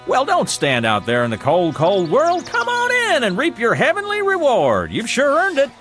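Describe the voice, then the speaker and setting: Casting: folksy, coaxing afterlife gatekeeper, Southern backwoods accent, high and very animated, faint music behind. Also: male voice, full character voice. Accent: rural Southern American. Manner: folksy, coaxing, drawn-out phrasing. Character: folksy, wheedling male gatekeeper of a false heaven. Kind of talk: vintage television drama acting.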